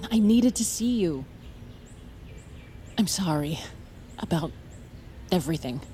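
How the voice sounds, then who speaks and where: A woman's voice: on the low side, lively intonation, slow pace, mellow, a little hiss or hum. Haunted, determined mother. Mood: apologetic. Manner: earnest, emotional. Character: haunted, determined mother, desperate yet defiant. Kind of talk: audio fiction acting with first-person narration. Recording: music behind the voice.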